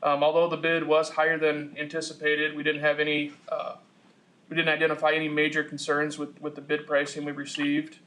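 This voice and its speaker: A man formally presenting a report to a commission in a measured, businesslike, somewhat halting way. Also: a little high, monotone, a bit bright, poor audio. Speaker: project engineer presenting to a commission.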